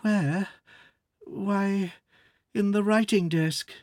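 A man's voice: high voice, fairly even intonation, very slow, a little mellow, no room sound, studio quality, pitched above the performer's own voice. A man voicing a naive young daughter. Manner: naive, earnest.

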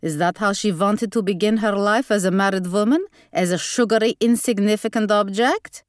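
A woman's voice: medium pitch, moderate pace, no echo, clean studio sound, close to an everyday voice. A woman voicing an imperious, snappish old grandmother with a Hungarian accent in an audiobook. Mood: scornful, grand. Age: older. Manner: snappish, opinionated.

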